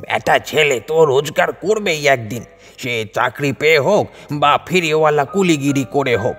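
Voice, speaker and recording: A man's voice: fairly high pitch, normal speed, a bit bright, big-room sound, faint music behind. A man playing a resentful, fatalistic old aunt who complains, in a dramatised audio story. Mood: aggrieved.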